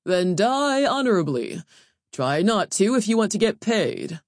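A woman's voice: mid-range voice, big pitch swings, a little slow, no room sound, clean studio sound, voice pushed up. A woman playing a pompous male training overseer in an audiobook, declaiming in a grand, formal manner. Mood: hassled. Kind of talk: audiobook character voice.

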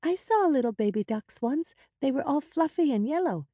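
A woman acts out a little girl of eight in a story. The voice is small and lifted high, innocent and eager, quick to take fright. It sits close and dry. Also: expressive, dark tone, dry recording. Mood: eager, curious. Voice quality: small, childlike voice.

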